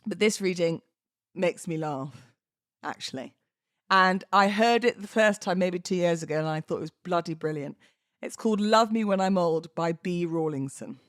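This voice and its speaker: A British woman hosting a podcast, moving between informal talk and expressive readings of verse. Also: medium pitch, dry recording, studio quality. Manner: chatty, informal, giggly. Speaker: podcast host. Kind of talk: casual podcast chat with dramatic poetry readings.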